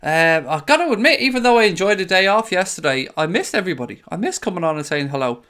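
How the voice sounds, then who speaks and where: A man's voice: high-pitched, very animated, fast talker, pushed and bright, clear, resonant tone. A man hosting a casual live stream, chatting in a friendly way and greeting viewers by name. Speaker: host of a fan live stream. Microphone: desk microphone.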